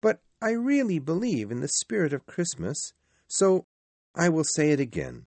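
A man voicing a cheerful, warm-hearted young nephew in a storybook, earnest and exclaiming as he pleads with his uncle. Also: a little high, wildly swooping pitch, normal speed, no echo, pitched above the performer's own voice, heavily acted. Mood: hurt but kindly. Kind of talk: storybook character voice.

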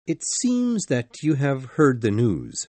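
A man acting a grave, formal gentleman in a gothic mystery audiobook, speaking in a deliberate, earnest way. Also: medium pitch, huge pitch swings, dry recording, full character voice. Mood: grave, probing. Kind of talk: dramatised audiobook dialogue.

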